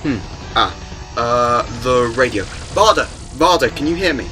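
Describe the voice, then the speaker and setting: A man acts out a commanding alien adventurer for a fan audio drama. He is urgent yet hopeful, in a mid-pitched voice. Music plays clearly behind him. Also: animated, a little slow, pushed and bright. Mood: determined.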